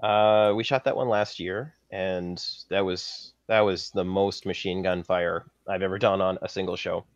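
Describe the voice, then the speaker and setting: A Canadian man talks casually in a fairly low voice, recounting things as an interview guest. The sound is slightly dull and lo-fi. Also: fairly even intonation, unhurried, hardly any pauses. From Saskatchewan, Canada. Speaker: guest, film props master. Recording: dull lo-fi audio, slightly roomy.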